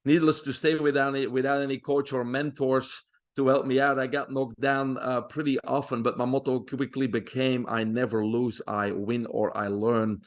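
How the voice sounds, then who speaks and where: A man in his fifties from Belgium, with a Belgian accent, telling his life story as a podcast guest in a steady, self-assured way with frequent pauses. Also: medium pitch. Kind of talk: interview storytelling.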